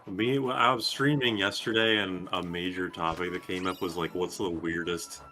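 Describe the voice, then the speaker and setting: Male game streamer, low register, casual sweary commentary over game music. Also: fairly even intonation, moderate pace, pushed and bright.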